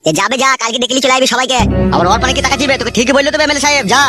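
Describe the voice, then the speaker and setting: Woman as a fast-nagging cheeky henchman. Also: high-pitched, over music, close to an everyday voice. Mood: taunting. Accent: rural West Bengal. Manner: taunting, nagging, crude. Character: cheeky, bullying male henchman of a village boss. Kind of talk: comic cartoon dialogue.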